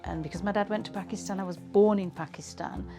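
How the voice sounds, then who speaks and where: A woman's voice: medium pitch, fairly even intonation, fast, music in the background. A woman, reminiscing in a conversational, reflective way as she is interviewed. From England.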